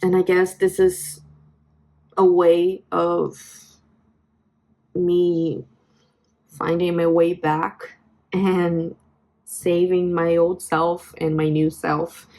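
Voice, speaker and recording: A woman's voice: on the low side, flat delivery, very slow, pauses often, warm tone, rough recording. A Brazilian woman in her twenties with a Brazilian Portuguese accent, speaking English as a second language. She vents reflectively into her phone, hesitant, with many fillers and restarts. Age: 20s. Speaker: vlogger. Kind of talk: personal venting vlog.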